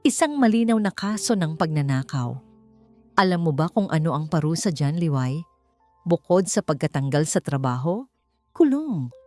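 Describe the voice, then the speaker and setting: A woman acts out a male restaurant boss in an audio story, a smug blackmailer. The delivery is calm and chilling, with taunting threats laid over a musical backing. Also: deep voice, normal speed, faint music behind. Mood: cold menace.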